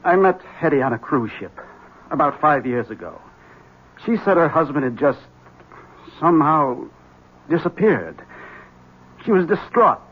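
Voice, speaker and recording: An actor playing an agitated, desperate husband in an old-time radio drama, speaking with intense, insistent urgency. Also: male voice, fairly high pitch, big pitch swings, measured pace, long pauses, breathy edge, heavily acted. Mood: bitter, intense. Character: agitated, desperate husband convinced his wife is a witch. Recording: dull lo-fi vintage radio recording.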